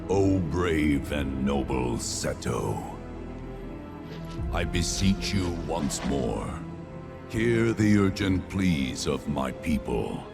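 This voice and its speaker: An ancient spirit chieftain from a fantasy game, with an abyssally deep, gravelly voice. He is solemn and archaic, drawing out his grand phrases slowly under loud music. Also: sing-song, heavily acted. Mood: solemn, imploring. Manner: slow, archaic, grandiose oratory. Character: ancient solemn male spirit leader of a mysterious tribe. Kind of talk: theatrical video game voice acting.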